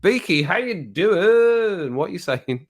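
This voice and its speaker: A man's voice: sits high, big pitch swings, slow pace. A man hosting a casual live stream from home over a drink, greeting viewers in the chat and laughing at his own jokes. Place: at home, living alone.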